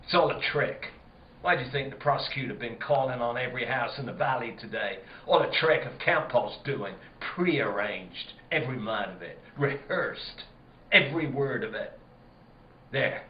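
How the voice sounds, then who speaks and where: An actor playing a guilty, blustering country sheriff in an old-time radio drama, with halting, repetitive, folksy speech. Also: male voice, medium pitch, slight background noise, lightly acted. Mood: accusing, insistent. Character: guilty, blustering rural sheriff, male, increasingly panicked. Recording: dull, roomy stage recording.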